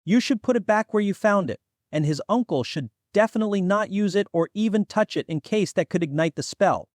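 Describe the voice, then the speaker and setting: Cautious young demigod, voiced by a man: earnest, easily offended, fairly high male voice, fantasy audiobook. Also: lively intonation, fairly quick, no room sound, clean studio sound. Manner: earnest, protesting. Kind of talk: fantasy audiobook character acting.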